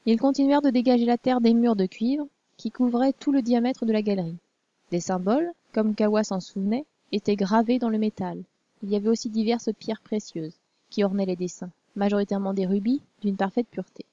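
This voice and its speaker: Female narrator for storytelling; mellow, measured read, dry lo-fi sound. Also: medium pitch, fairly even intonation. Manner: measured storytelling, also voices the princess. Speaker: audiobook narrator.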